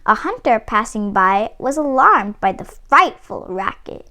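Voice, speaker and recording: A woman reading a children's story aloud in a deliberate, expressive narrating style. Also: on the high side. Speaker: storybook reader.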